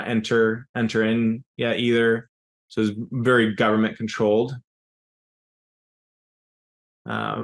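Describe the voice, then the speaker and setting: Measured man, native Serbian speaker. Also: medium pitch, a bit bright. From Yugoslavia. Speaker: founder of an IT services company, interview guest. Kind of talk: business interview answers.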